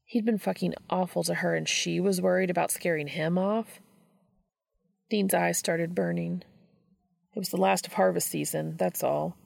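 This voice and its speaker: Very creaky-voiced woman narrating fiction. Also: lowish voice, steady tone, moderate pace, a little mellow, dry recording, studio quality. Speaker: fan fiction audiobook reader. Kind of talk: scripted story narration.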